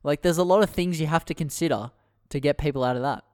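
Wry young podcast co-host. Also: male voice, fairly high pitch, fast, clear, resonant tone, no room sound. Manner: dry, wry asides. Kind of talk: casual political chat.

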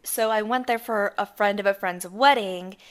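A woman in her twenties co-hosting a podcast, a bit hoarse from losing her voice, telling stories in a chatty way and laughing often. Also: mid-range voice, moderate pace. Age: 20s. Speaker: podcast co-host. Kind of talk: casual chat.

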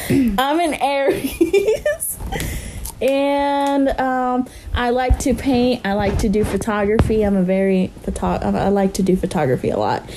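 A young woman from Kentucky co-hosts a casual college podcast, talking slowly through fillers and frequent giggles. Her voice sits fairly high, with a faint hiss of noise behind it. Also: expressive. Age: young adult. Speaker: podcast co-host. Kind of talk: casual chat.

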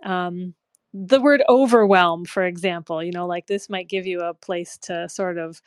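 A woman's voice: lowish voice, steady tone, a little slow, clean tone, dry recording. Upbeat chatty woman on a call. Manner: chatty, upbeat, many fillers. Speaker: guest caller. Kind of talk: casual chat. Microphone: remote call.

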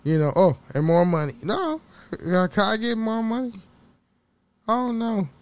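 A man hosting a casual solo podcast, rambling and swearing with frequent laughs, his voice a little hoarse. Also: high-pitched, expressive, a little slow. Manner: rambling, profane, laughs often. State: slightly hoarse from waking up. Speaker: podcast host.

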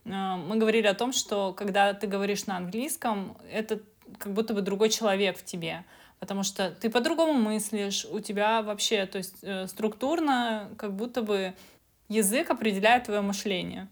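A woman's voice: medium pitch, normal speed. A woman co-hosting a podcast, speaking conversationally and sometimes searching for words. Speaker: podcast co-host. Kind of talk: casual podcast chat.

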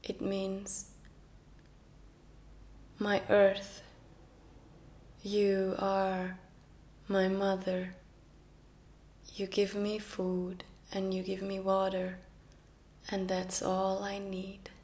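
A young woman speaks English slowly and softly. Her pitch stays quite level as she tells her story, pausing and restarting now and then. She sounds reflective and unhurried. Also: monotone. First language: Norwegian. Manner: soft and reflective, with small restarts. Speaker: podcast host. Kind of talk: personal storytelling.

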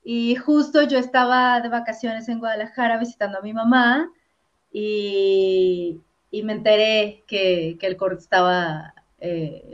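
A woman from Colombia, an actress and comedian, chatting as an interview guest with many fillers and repeated words. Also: medium pitch. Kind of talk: casual interview chat. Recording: dull lo-fi sound.

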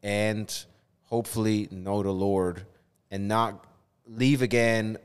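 A man's voice: fairly low pitch, fairly even intonation, takes it slowly, clear, resonant tone, big-room sound. A man from Switzerland in his thirties, a non-native English speaker, telling his story conversationally as a pastor on a podcast. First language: not English. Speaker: community engagement pastor, podcast guest.

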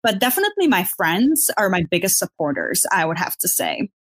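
A woman's voice: mid-range voice, very animated, brisk, husky, dry recording. A young woman from Bulgaria, a pageant contestant, answering interview questions earnestly and encouragingly. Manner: earnest, encouraging, uses fillers like kind of.

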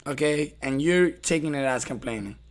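A man's voice: on the high side, moderate pace. A man hosting a casual podcast conversation, speaking directly and emphatically and often checking in after his points. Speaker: podcast host.

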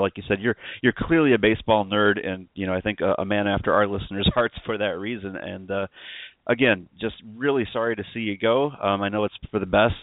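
A man's voice: on the low side, dry recording, phone-quality sound. A man hosting a podcast in a casual, chatty sports style. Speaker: podcast host.

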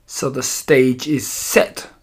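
Male narrator as evil storybook witch, imperious, malevolent, slow emphatic delivery, broken grammar. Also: a little high. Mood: menacing. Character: imperious, malevolent female Grand High Witch plotting against children.

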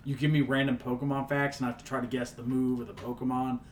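A man with a southern US accent co-hosting a laid-back podcast, his voice sitting in an ordinary middle pitch. He banters playfully, dropping into low mumbles and then bursting out loud. A faint hiss runs under the recording. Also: little pitch movement, fast talker. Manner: playful, jumps between mumbling and loud exclaiming. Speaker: podcast co-host. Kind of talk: casual chat.

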